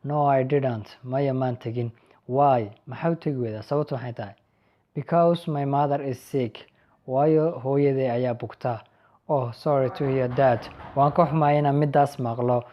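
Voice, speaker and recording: A young man with a Somali accent teaching an English lesson. He reads each English line slowly and a bit louder, then gives the translation in a quieter voice. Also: medium pitch, fairly even intonation, dull audio. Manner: reads English lines slow and raised, then explains quietly. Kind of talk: language lesson, reading sample dialogues and translating.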